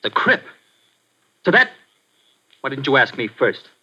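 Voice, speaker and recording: Blunt, determined young fighter; bright tone, 1940s radio. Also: male voice, medium pitch, very animated, unhurried, slightly rough, heavily acted. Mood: angry. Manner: earnest, then hard and angry. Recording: muffled old radio recording.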